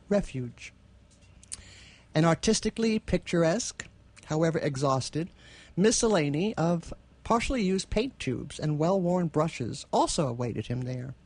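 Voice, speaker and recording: A woman co-hosting a radio theatre show, chatting casually and reading literary prose in a measured, expressive way. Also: sits low.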